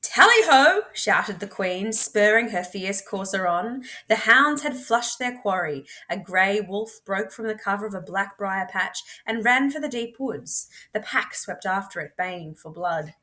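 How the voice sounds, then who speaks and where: Female storybook reader, medium pitch, measured and dramatic, leaving deliberate pauses. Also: bright, forceful tone. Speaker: teacher reading books aloud. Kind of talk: expressive storybook read-aloud.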